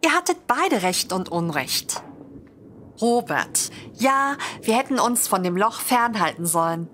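Woman playing a high-voiced, bossy mothering friend. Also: very animated, unhurried, fairly bright tone, a little hiss or hum. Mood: scolding, reasoning. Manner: scolding, playful, firm. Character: bossy, teasing young woman who mothers her squabbling friends. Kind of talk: audio drama acting.

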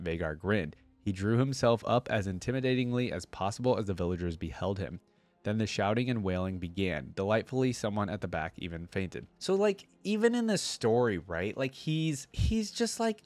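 A young man reading lore aloud and commenting casually, with expressive reading and conversational asides, close to a desk microphone. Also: on the low side, dry recording. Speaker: reaction video host. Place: indoor streaming setup. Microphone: close desk microphone on a boom arm.

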